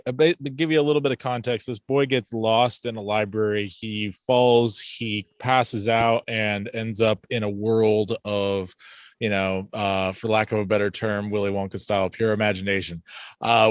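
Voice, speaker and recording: A man's voice: on the low side, no room sound. A man talking casually about books and films as a podcast host.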